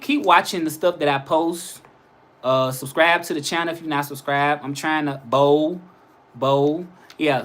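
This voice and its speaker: A man's voice: medium pitch, fairly bright tone. Chatty Southern man from Memphis. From Memphis, Tennessee. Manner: rambling, chatty, repeats himself. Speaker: vlogger answering live chat. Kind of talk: casual live-stream chat.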